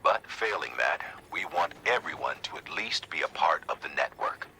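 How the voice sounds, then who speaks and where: An actor playing an authoritative official who gives a formal, measured, explanatory briefing in a video game. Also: male voice, very deep voice, expressive, bright, forceful tone, slight background noise, heavily acted, low-quality recording. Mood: matter-of-fact. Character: authoritative male organization official briefing a courier by radio.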